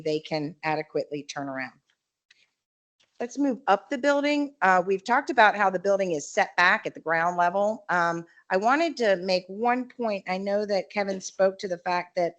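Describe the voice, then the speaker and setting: A woman giving a measured, explanatory presentation at a public county meeting, with frequent fillers. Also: lowish voice. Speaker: developer representative presenting a project.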